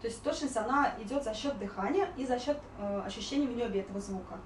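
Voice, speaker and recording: Female vocal coach, higher voice, explanatory and demonstrative, distant in an echoey room. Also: quick pace, a little hiss or hum. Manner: explanatory, demonstrating vowels and sung warm-ups. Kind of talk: informal spoken singing lesson with explanations. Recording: roomy echo, distant mic, music behind.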